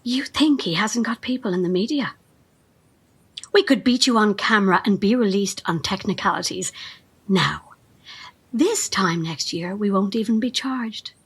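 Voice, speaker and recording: An actress playing a fanatical cult assistant in an audio drama, measured, calmly menacing and reverent, with a breathy, husky voice. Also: female voice, medium pitch, heavily acted. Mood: calm menace. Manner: measured, veiled threats, reverent.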